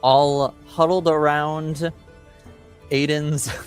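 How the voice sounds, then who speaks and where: Man recapping slowly over music. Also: fairly high pitch, flat delivery. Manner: halting, pausing often mid-sentence. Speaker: game master. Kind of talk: casual recap of a tabletop game session. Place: remote online stream call. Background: music playing underneath.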